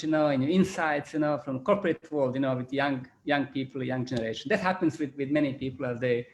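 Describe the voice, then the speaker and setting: A man with a Croatian accent closes an online panel as chairman. He speaks at an ordinary pitch, halts often and repeats his words. A faint hiss runs under the call. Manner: halting, repeats words, many "you know" fillers. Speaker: business school chairman. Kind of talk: closing remarks at an online panel.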